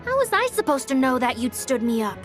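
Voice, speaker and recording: A woman's voice: high voice, big pitch swings, fairly quick, music in the background. A woman voicing a chatty young little sister in a game cutscene, frank and a little reproachful. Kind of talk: animated game cutscene acting.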